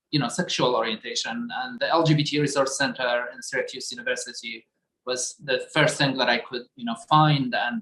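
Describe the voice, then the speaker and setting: A man, earnestly recounting his own life story in a measured way. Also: mid-range voice, a bit bright. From Iraq. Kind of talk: personal testimony. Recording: roomy echo.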